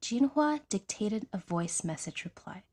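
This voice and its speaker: A woman's voice: medium pitch, expressive, a little slow, darkish tone. A woman reading scripted fiction narration in the manner of an audiodrama storyteller.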